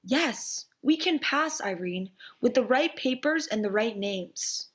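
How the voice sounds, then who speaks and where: A woman's voice: fairly high pitch, lively intonation, slow pace, fairly bright tone. A woman playing a worried but determined young mother in a wartime stage drama. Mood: firm, planning. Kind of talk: theatrical storytelling monologue and dialogue. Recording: roomy home recording with music underneath.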